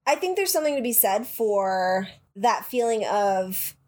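A woman co-hosting a casual podcast chat, sounding nasal from a cold, animated and cursing freely. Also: medium pitch, unhurried. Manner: swearing, animated, acts out quoted voices. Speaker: podcast co-host.

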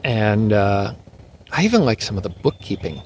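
A man who is a musician guest on a podcast, speaking in a thoughtful, measured way. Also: fairly low pitch, sing-song, darkish tone, a little hiss or hum. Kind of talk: casual interview chat.

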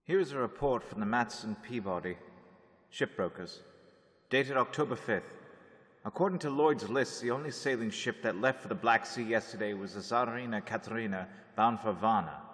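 A man's voice: lowish voice, a bit bright, lightly acted. A man narrating as a grim diarist in a radio drama. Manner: measured, matter-of-fact reading of reports. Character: grim male diarist reading dated journal entries. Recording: long reverberant room.

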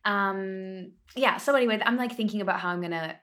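Woman early 30s, mid pitch, podcast co-host, rambly and hesitant, lots of likes. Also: a little fast, a bit bright. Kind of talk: casual chat.

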